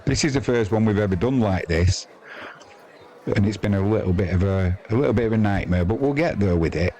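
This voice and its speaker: A man with an English accent and a deep voice hosts a live cricket stream. He talks casually and off the cuff, in a matey, rambling way. A faint crowd sits behind his voice. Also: a little fast, dark tone. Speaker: live sports stream commentator and host. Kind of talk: casual ad-libbed live cricket commentary and chat.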